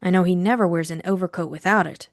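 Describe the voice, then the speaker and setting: A woman's voice: on the low side, quick pace, no echo, studio quality, natural voice. A woman acting a frightened, secretive female client, timid and earnest, in an audiobook drama.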